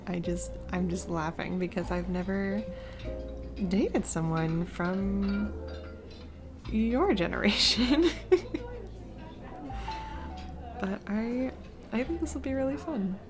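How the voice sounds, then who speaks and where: A woman playing a flustered single mom on a blind date in a romantic audio roleplay, speaking haltingly with nervous giggles. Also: medium pitch. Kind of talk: romantic audio roleplay, intimate conversational acting. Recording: music playing behind the voice. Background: music, restaurant ambiance.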